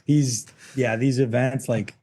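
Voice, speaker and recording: A man's voice: mid-range voice, steady tone, slow pace, warm tone. A man chatting casually as a podcast guest, laid-back and slangy, often putting himself down. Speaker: podcast guest, pro cornhole player. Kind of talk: casual chat.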